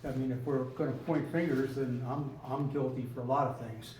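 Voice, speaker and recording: A man speaking earnestly at a town meeting's public comment, often pausing with ums. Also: medium pitch, steady tone, darkish tone, a little hiss or hum. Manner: earnest, hesitant, many ums and I means. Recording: echoey room, distant mic.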